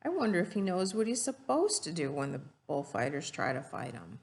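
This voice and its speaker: A woman's voice: lowish voice, very animated, brisk, vocal fry, darkish tone. A woman reading a storybook aloud and teaching children, explaining simply and putting questions to her young listeners.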